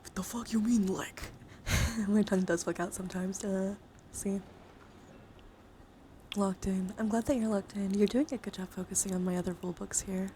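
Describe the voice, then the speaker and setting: A woman hosting an ASMR livestream, chatting in soft whispers and hushed talk, with slow, repetitive phrasing. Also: medium pitch, fairly even intonation. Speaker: livestream host. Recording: clear background noise on livestream.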